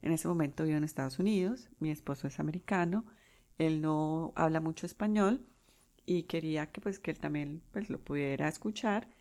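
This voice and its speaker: A Colombian woman in her forties hosting her own podcast, talking unscripted with pauses and restarts. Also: low-pitched, mellow. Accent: Colombian Spanish. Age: 40s. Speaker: podcast host. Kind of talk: solo podcast monologue.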